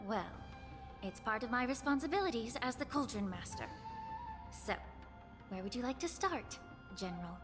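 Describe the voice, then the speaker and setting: An actress playing a poised, polite healer official in a video game, with measured delivery. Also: female voice, on the high side, lively intonation, music in the background, lightly acted.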